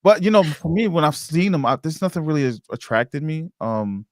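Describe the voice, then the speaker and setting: A man hosting a podcast interview in a casual, informal and somewhat halting way. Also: a little high, animated, dry recording, clean studio sound.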